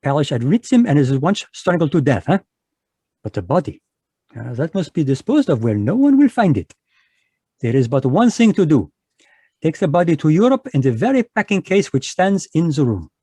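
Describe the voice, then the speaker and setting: A man voicing a fussy, precise Belgian detective, speaking in a measured, lecturing way in a live online radio drama. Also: fairly high pitch, big pitch swings, dark tone, slightly rough, no room sound. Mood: explaining.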